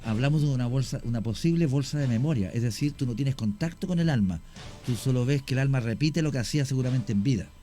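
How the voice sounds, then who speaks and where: A man, a radio guest slowly telling a paranormal story with many pauses. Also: mid-range voice, warm tone, faint music behind. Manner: slow, halting storyteller. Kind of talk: radio talk show storytelling.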